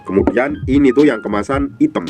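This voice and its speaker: A man's voice: mid-range voice, music in the background. A man with a cold and a blocked, nasal voice, demonstrating products casually for an online shop and calling the viewer "boss" all the time. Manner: fast and informal, calls viewer "Mas Bos" constantly. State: stuffy nose from a cold. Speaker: online herbal product seller.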